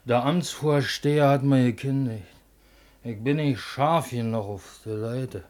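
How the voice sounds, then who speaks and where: Radio comedy: downhearted, boozy village official played by a man, very slow, halting delivery, meek and forgetful. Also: medium pitch, darkish tone, lightly acted. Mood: glum, tipsy. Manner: halting, plodding. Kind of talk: stage-comedy radio play acting in dialect.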